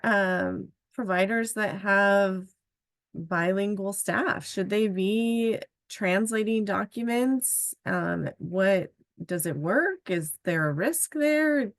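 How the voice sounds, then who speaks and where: A woman's voice: mid-range voice, dry recording, clean studio sound. A woman moderating a webinar panel, asking questions in a conversational way with frequent "um"s. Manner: conversational, fills pauses with "um".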